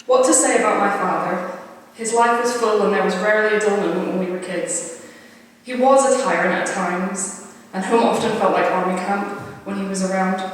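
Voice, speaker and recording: A woman's voice: medium pitch, fairly even intonation, normal speed. A woman reading a prepared eulogy aloud at a funeral service. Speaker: eulogy reader. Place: crematorium chapel. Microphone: distant camera at the back of the room. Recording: very echoey hall, distant mic.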